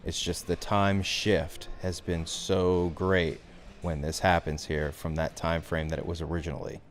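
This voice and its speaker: A man giving a software tutorial as instructor, talking through the steps in an informal, conversational way. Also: low voice, level tone, a little slow, slight background noise.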